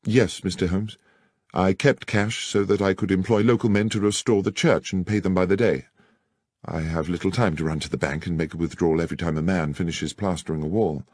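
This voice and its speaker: A man's voice: deep voice, fairly even intonation, a little fast, a little mellow, no room sound, clean studio sound, voice pushed down. A man voicing an earnest, anxious young clergyman in an audiobook, speaking formally and with care. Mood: anxious, confiding. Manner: formal, careful, wordy. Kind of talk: dramatized audiobook character dialogue.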